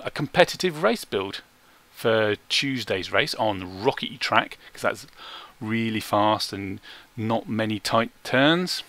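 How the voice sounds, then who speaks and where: A man giving measured, explanatory video game commentary in a self-deprecating way, recorded as a close-mic voice-over. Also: medium pitch, bright, forceful tone. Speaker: gaming video creator.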